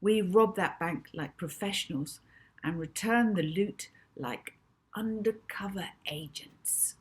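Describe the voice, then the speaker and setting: A woman voicing a confident, bossy young girl in a children's storybook, speaking deliberately. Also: fairly low pitch. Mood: pleased, teasing. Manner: deliberate, instructing. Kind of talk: children's storybook character dialogue.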